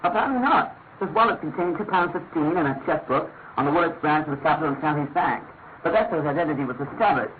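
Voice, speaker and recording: An actor playing a brisk, matter-of-fact detective's companion with a British accent, in classic radio mystery style. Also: male voice, on the high side, lively intonation, natural voice. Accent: British English. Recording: tinny, narrow-band radio recording with hiss.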